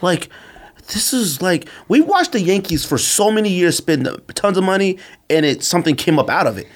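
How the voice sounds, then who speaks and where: An American man co-hosting a podcast with casual sneaker and sports banter, talking in a slangy, emphatic way and teasing argumentatively. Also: sits high. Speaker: podcast co-host.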